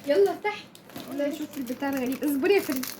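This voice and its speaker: A woman's voice: sits high, animated, very fast, background noise. A young girl with an Egyptian Arabic accent, chatting casually as she hosts an unboxing video and gives instructions to a younger child. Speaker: kids' channel host.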